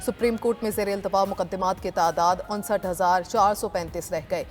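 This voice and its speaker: A female news anchor with a Pakistani accent reads headlines from a script. Her voice is of middling pitch, and music runs softly behind it. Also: fairly even intonation, a little fast. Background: music bed.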